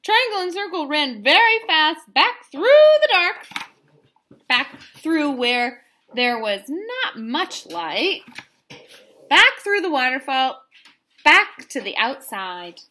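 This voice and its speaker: Female children's story reader, deliberate and dramatic, slow pace, animated pitch. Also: slight background noise. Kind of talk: expressive children's read-aloud. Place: room at home.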